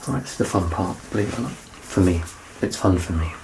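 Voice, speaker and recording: A man in his thirties doing a soft-spoken ASMR roleplay as a doctor, gentle and reassuring, often close to a whisper. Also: low-pitched, lively intonation, fast talker, low-quality recording. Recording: clear background noise and ambience.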